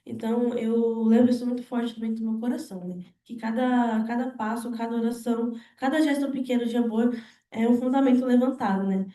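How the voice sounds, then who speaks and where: A woman's voice: on the high side, fairly even intonation, fairly quick, mellow, reverberant. An eighteen-year-old woman giving an earnest personal testimony to a church group, padding her talk with small fillers. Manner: earnest, many fillers like "né" and "na verdade". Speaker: team member giving testimony.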